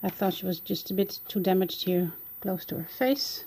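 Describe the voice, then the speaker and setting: A woman's voice: mid-range voice, normal speed, darkish tone, slightly rough. A woman with a Dutch accent, a native Dutch speaker, casually narrating a flip-through of her art journal and searching for words as she goes.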